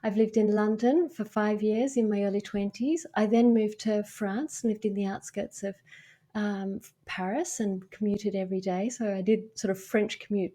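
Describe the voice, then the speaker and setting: A woman's voice: medium pitch, mellow. Frank Australian woman, meditation coach. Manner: frank and earnest, thinking aloud. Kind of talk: podcast interview.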